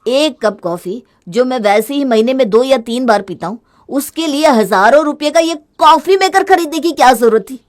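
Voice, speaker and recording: A woman's voice: high-pitched, lively intonation, normal speed. A woman voicing an exasperated husband in a family story, with a sarcastic, reproachful manner. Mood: annoyed, scolding. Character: exasperated, scolding husband, critical of wasteful spending.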